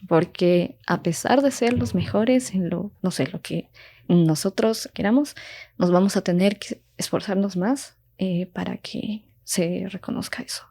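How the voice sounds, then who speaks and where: A woman's voice: mid-range voice, measured pace, warm tone. A young adult woman speaking in an interview, casual and heartfelt, with lots of fillers. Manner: chatty, heartfelt, many fillers.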